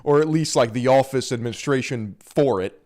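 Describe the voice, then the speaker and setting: A man's voice: mid-range voice, a little fast, darkish tone, a little hiss or hum. A man streaming live and reacting to a video with crude, wry commentary and frequent laughter.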